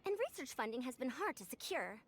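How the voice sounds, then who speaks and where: A woman's voice: high voice, sing-song, a little fast, husky, exaggerated voice. A woman voicing a proud, self-important senior scholar in a video game, formal and theatrical but youthful-sounding. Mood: confident, proud. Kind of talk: anime-style video game voice acting.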